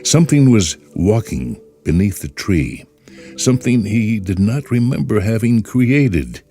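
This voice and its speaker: A man hosting and narrating a short story in an expressive, unhurried storytelling way. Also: on the low side. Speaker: host and narrator. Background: faint music.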